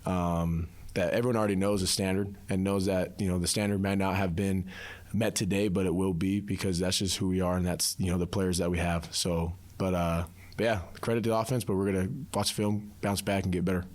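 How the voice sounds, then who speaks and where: A man's voice: sits low, flat delivery, brisk, darkish tone. A young man, a college football player, answering casually in a post-practice interview, repeating himself with many "you knows". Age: young adult.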